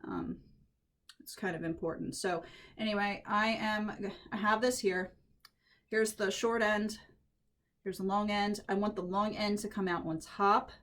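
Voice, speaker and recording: A woman at home, a little croaky from allergies, chatting through a head-wrap tutorial and thinking aloud as she works. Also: medium pitch, steady tone, a little slow, pauses often, a bit bright. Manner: chatty, thinks aloud while working, frequent restarts. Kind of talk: casual hands-on tutorial.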